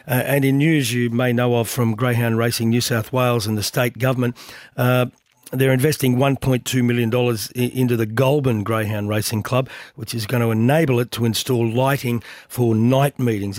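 An Australian man with a husky voice, hosting a racing radio show, talking with lots of hesitant fillers. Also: mid-range voice, no room sound, studio quality. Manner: hesitant, many filler words. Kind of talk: radio interview and news roundup. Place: radio studio.

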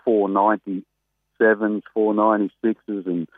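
An Australian man, a greyhound trainer, chatting casually and excitedly as a guest on a phone interview. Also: on the low side, measured pace, no echo. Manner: chatty, rambling, excitable about racing. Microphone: telephone. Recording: tinny phone line.

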